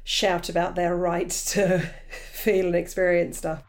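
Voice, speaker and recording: A woman's voice: fairly low pitch, flat delivery, a little slow, slight background noise. A woman hosting a casual interview, listening attentively and asking her guest questions. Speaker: podcast host.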